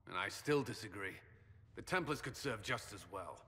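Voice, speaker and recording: An actor playing a disciplined male military commander in a fantasy video game, firm and earnest in his measured delivery. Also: lowish voice, expressive, pushed and bright, long reverb tail. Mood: insistent. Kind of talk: video game dramatic dialogue.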